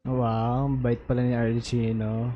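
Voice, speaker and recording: A woman's voice: very deep voice, lo-fi sound. A woman with a Filipino accent giving casual live commentary as she streams a game. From Philippines.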